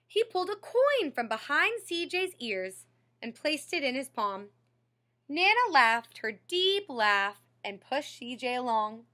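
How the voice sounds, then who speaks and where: A woman's voice: sits high, big pitch swings, unhurried, bright, forceful tone. A woman reading a picture book aloud to children like a teacher, explaining and prompting young listeners with questions. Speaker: read-aloud storyteller and teacher.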